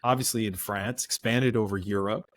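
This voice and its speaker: A man's voice: on the low side, moderate pace, no room sound. A middle-aged man with an American accent, telling stories from his career as a guest in a casual interview, often restarting his sentences. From United States. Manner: relaxed storyteller, frequent restarts. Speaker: podcast guest.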